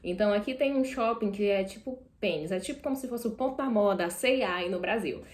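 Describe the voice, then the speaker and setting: A woman's voice: medium pitch, fast talker, echoey room. A young Brazilian woman with a Brazilian Portuguese accent, chatting casually to camera while seated indoors and often correcting herself mid-sentence. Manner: chatty, self-correcting, animated asides. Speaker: vlogger.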